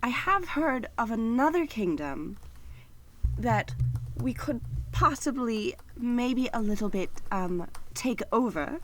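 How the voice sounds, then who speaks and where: A sly, stately evil queen, acted by a woman in a comedy audio drama. She speaks slowly and coaxingly, with falsely sweet menace and a lively, rising and falling melody. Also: fairly high pitch, noisy background. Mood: sly, persuasive.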